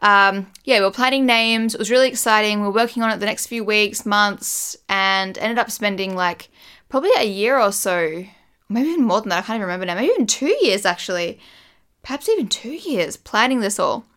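A woman in her thirties talks casually to her camera, rambling and restarting as she goes, with light laughs here and there. Her voice is smooth underneath, just a little croaky from the morning, and sits at an ordinary middle pitch. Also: animated, a little fast, a bit bright. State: slightly croaky voice. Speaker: beauty vlogger and brand founder.

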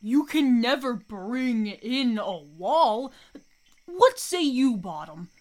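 Timid, dim amateur player acted by a woman, high lilting voice, slow hesitant delivery, Shakespeare radio comedy. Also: expressive. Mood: doubtful. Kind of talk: Shakespeare radio play acting.

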